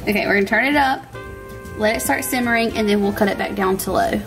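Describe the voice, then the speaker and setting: A woman's voice: medium pitch, quick pace, fairly bright tone, soft background music. A woman in her thirties hosting a home cooking vlog in a chatty, informal way, narrating casually as she goes. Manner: chatty, informal, laughs easily. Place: home kitchen.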